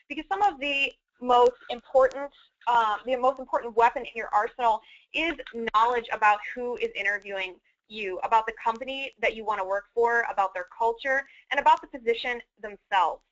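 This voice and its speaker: A woman with a fairly high, bright and crisp-edged voice explains career advice methodically, in the conversational style of a webinar presenter. The recording is a little dull and band-limited.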